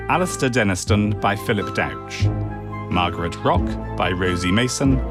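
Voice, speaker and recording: A man reading scripted closing credits as announcer. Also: on the low side.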